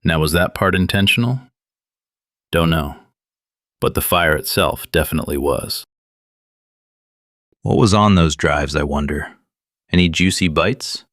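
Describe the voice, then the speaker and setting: A man's voice: rumbling low, a little slow, long pauses, fairly bright tone, no room sound, studio quality. A man playing a wry conspiracy show host in an audio drama, talking in a conversational, conspiratorial way. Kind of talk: scripted audio drama monologue as talk-show broadcast.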